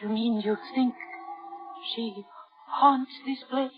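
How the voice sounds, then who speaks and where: A frightened, melancholy bride in an old radio thriller. She speaks very slowly and hesitantly, with dramatic dread, on a dull vintage recording with music clearly behind her. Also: fairly high pitch, steady tone. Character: frightened new wife, melancholy and trembling. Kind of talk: 1950s radio thriller acting.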